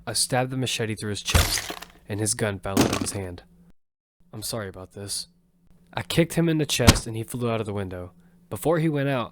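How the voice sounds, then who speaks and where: A man's voice: fairly low pitch, very noisy, lightly acted. A man voicing Damian, a young battle survivor, narrating the story in first person in an earnest, matter-of-fact way. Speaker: narrator and lead character. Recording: loud music score behind.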